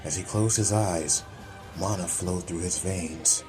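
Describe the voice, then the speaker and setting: A man's voice: low voice, a little slow, music in the background. A man reading a scripted, dramatic story as an audiobook narrator.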